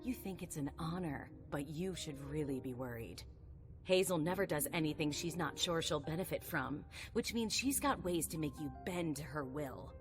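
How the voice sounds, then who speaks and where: A woman acts out a female vampire sire in a video game cutscene, her voice notably deep. She is cynical and guarded yet protective, speaking with dry, emphatic irony over prominent music. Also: normal speed, lightly acted. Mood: wary, warning. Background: background music.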